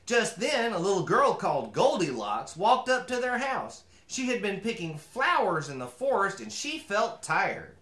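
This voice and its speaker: A man's voice: high-pitched, very animated, a little slow, few pauses, a bit bright, reverberant, heavily acted. A man voicing a chatty, opinionated mule who reads a storybook with folksy, disapproving asides. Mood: storytelling. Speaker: host reading a storybook. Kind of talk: animated storybook reading with comic commentary.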